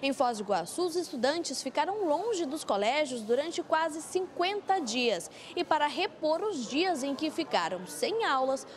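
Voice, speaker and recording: A woman with a Brazilian accent reading a scripted television news report. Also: high-pitched.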